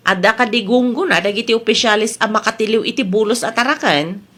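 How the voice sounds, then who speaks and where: A woman's voice: on the high side, fast talker, bright, forceful tone. A woman with an Ilocano Filipino accent playing an eager, money-chasing village councilor in a comedy audio drama. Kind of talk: comedy radio drama acting.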